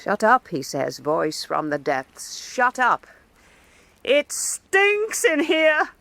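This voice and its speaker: Sardonic, defiant female artist, period radio drama; blunt and mocking, animated pitch, dry close sound. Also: slow, gravelly, dry recording, heavily acted. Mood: sardonic, musing. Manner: blunt, mocking, theatrical delivery. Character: defiant, sardonic woman painter in historical Venice.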